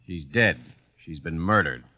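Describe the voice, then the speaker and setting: An actor playing a brisk, matter-of-fact homicide lieutenant in a 1950s radio drama. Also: male voice, low-pitched, expressive. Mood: official. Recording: dull, lo-fi 1950s radio recording.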